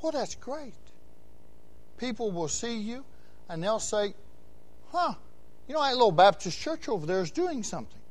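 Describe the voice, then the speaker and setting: A man in his sixties preaching a Baptist sermon, repeating phrases in rhythmic runs and swinging from quiet asides to loud, emphatic calls. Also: sits high, big pitch swings, moderate pace, pauses often. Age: 60s. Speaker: Baptist pastor.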